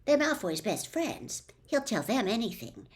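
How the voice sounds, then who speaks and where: A woman voicing a clever, bossy schoolgirl witch from a storybook, brisk and matter-of-fact. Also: on the high side, sing-song, pitched above the performer's own voice. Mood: brisk, assured.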